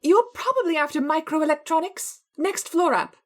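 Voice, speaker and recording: A stern lab scientist in a video game. Her high voice moves in lively swings, brisk, indignant and exasperated. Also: heavy background noise. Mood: puzzled, irritated.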